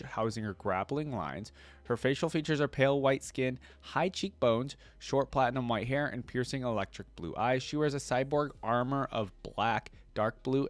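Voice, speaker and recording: A man with a medium-pitched voice gives informal reaction commentary in a dry-sounding space. He reads aloud, then drifts into offhand remarks, thinking out loud as he talks to his viewers. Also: no echo. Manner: informal, thinking aloud, often checking in with listeners. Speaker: video creator reacting to game character lore.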